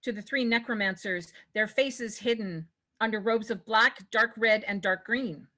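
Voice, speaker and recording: A woman's voice: on the high side, measured pace, pushed and bright. A woman reading scripted stage directions as the narrator of a table read.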